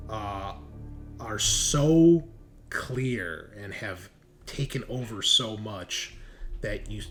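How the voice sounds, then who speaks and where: Low, measured man narrating a horror game. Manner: descriptive, suspenseful storytelling. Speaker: game master.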